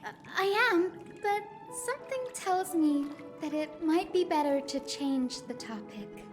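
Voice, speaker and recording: A polite young scholar in a video game. Her voice is high, and she speaks with earnest deference. Faint music runs underneath. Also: expressive, measured pace. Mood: hesitant, earnest. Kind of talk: scripted game voice acting.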